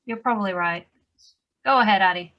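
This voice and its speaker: A woman's voice: mid-range voice, fairly quick, highs cut off, natural voice. A woman reading the part of a guarded, dryly practical nurse in a drama script table read.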